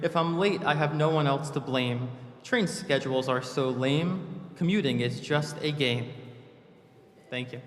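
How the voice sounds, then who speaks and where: A man with a medium-pitched voice reads his own poetry to a live room. His delivery comes in short, clipped phrases between brief spoken introductions. Strong echo and a long reverb surround the voice. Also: unhurried, a little hiss or hum. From New Jersey, United States. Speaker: poet reading his own short poems. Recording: echoey hall with long reverb.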